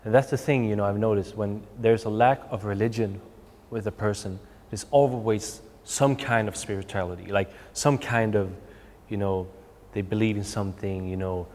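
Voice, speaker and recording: A man's voice: on the low side, steady tone, moderate pace, hardly any pauses, darkish tone, long reverb tail. A man, answering interview questions hesitantly, with many fillers. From Sweden. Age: 20s. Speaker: guest. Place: TV studio.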